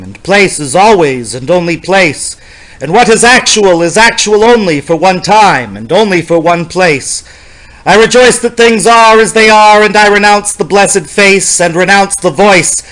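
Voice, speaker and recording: High-pitched man dramatically reading poetry. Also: a bit bright, rough recording. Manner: solemn, deliberate, theatrical, incantatory. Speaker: poetry reader.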